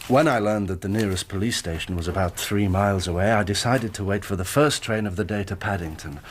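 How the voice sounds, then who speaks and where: An actor playing a shaken young engineer in a radio drama, telling his story in formal, measured sentences. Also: lowish voice, a little hiss or hum, lightly acted. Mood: earnest. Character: shaken, earnest young male engineer recounting his ordeal.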